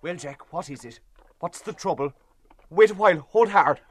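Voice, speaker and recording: A man's voice: high-pitched, expressive, moderate pace, background noise. An actor playing a hearty, blustering, chatty Dublin man with an Irish accent and an exclamatory way of talking, in a dramatised novel. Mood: gasping, cursing. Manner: exclamatory, colloquial, emphatic. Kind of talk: dramatised literary audiobook dialogue.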